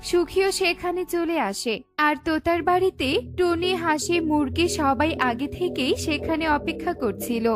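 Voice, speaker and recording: A woman with a high voice narrates a scripted cartoon story for children. She works as the storyteller between the characters. Music plays clearly behind her. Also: moderate pace, hardly any pauses.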